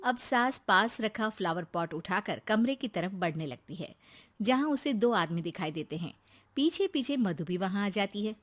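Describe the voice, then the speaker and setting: A woman's voice: medium pitch, lively intonation, moderate pace. A woman reading a scripted comic family story as its narrator.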